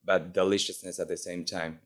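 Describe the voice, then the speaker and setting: A man's voice: low voice, level tone, a little fast. A man from Argentina, a chef, telling his story fluently and easily in an interview. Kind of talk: interview answers.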